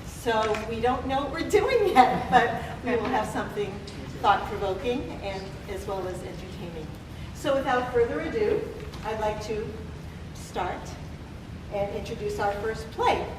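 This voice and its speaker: A woman speaks as director and host of a live play reading, introducing and narrating stage directions. Her voice sits fairly high. She is recorded from a distance in an echoey, noisy room. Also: animated, measured pace. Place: temple hall, distant camera mic. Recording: echoey room, distant mic, clear background noise.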